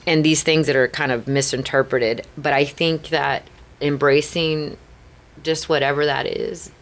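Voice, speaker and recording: A woman's voice: deep voice, steady tone, a little slow. A middle-aged Chicana woman with a Chicana accent, telling candid stories as a podcast guest, earnest and full of "like" and "right?". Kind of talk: candid storytelling interview.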